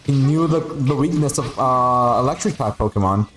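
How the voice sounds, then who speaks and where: A man's voice: mid-range voice, normal speed, a bit bright, low-quality recording. Casual native Spanish-speaking man streaming games. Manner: rambling, profane, playful grumbling. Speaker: game streamer. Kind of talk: casual gaming livestream commentary.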